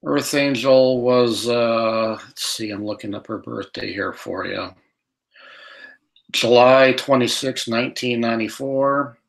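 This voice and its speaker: A man's voice: mid-range voice, slow pace, vocal fry. A man co-hosting a psychic call-in show, reading out listeners' questions with many hesitant fillers. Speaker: radio show co-host relaying caller questions.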